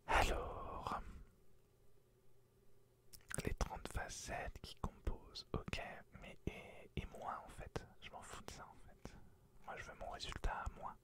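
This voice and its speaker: A young man from France whispering through a casual vlog in a joking, self-mocking way. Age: young adult. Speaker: freelance graphic designer. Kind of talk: whispered ASMR-style vlog.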